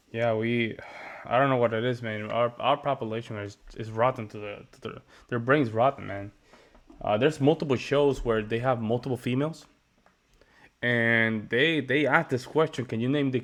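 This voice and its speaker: A man from the United States chats through a reaction video in a relaxed, loose way. He keeps turning to his viewers as he talks, and his voice sits at an average pitch for a man. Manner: loose and chatty, says "man" and "guys" a lot. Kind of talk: casual reaction commentary.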